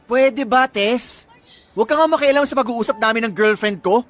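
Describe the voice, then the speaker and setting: A man's voice: very high-pitched, moderate pace, a bit bright, faint music behind. A man playing a jealous, hot-tempered young Filipino boyfriend, sarcastic and accusing, in a radio drama. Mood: angry, dismissive. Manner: menacing, sarcastic.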